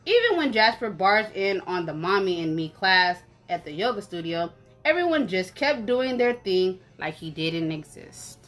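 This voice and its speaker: A woman reading a story aloud in an animated, conversational way, as if for children. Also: medium pitch, moderate pace. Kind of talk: expressive children's story narration.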